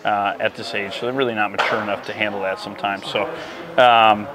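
A middle-aged man co-hosting a casual baseball talk, conversational and often trailing off softly, on a lapel mic in a cluttered equipment room. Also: on the low side, steady tone, brisk, a crowd in the background, rough recording. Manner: conversational, trailing off into softer asides. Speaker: baseball program coach and co-host. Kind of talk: casual podcast chat.

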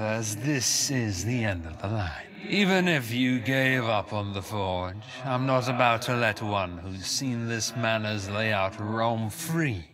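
A haughty, zealous duke delivers dramatic fantasy game dialogue. His pace is slow and measured, his manner condescending and theatrical, in a middle-pitched male voice. Ambient noise sits clearly behind him. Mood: scornful, threatening. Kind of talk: dramatic video game character acting.

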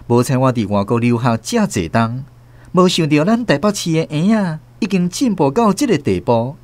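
An actor with a Taiwanese accent playing a wry first-person storyteller in a radio drama, narrating expressively. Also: male voice, a little high, big pitch swings, normal speed, darkish tone, dry recording. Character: wry male storyteller observing a mother, returned from abroad.

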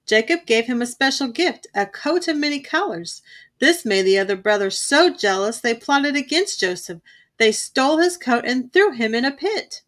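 A woman's voice: on the high side, expressive, normal speed, fairly bright tone. A woman doing a stern old farm-woman bear. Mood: storytelling.

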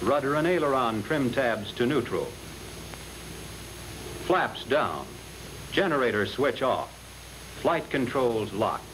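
American man narrating over loud film noise. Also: mid-range voice, expressive, takes it slowly, long pauses. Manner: instructive, direct second-person address. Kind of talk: 1940s instructional film narration, scripted. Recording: noisy old 1940s film soundtrack.

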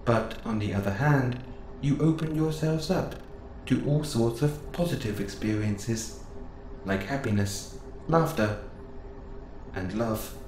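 A man playing a gentle, curious robot assistant who speaks in formal, precise phrases, close and intimate in an audio roleplay. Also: mid-range voice, slow pace, pauses often, natural voice. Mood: wistful. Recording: roomy sound with clear background noise.

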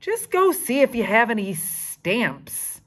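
A woman's voice: a little high, very animated, takes it slowly, fairly bright tone, pitched above the performer's own voice, exaggerated voice. A woman voicing an eager, matter-of-fact young schoolboy in a storybook, explaining things plainly.

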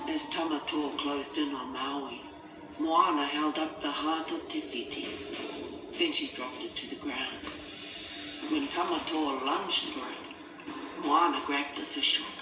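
A man's voice: on the high side, takes it slowly, long pauses. A man reading a scripted children's storybook as its narrator. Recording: echoey, distant, music behind.

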